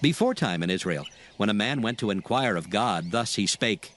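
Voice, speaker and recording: A man reading scripture narration in a measured, formal manner as storyteller. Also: fairly low pitch, expressive, fairly bright tone. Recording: faint music behind the voice.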